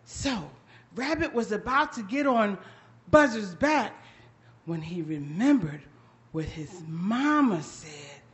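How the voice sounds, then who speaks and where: A woman performing high-energy interactive folktales for children, dramatic and emphatic, with deliberate pauses. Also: expressive. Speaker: children's storyteller.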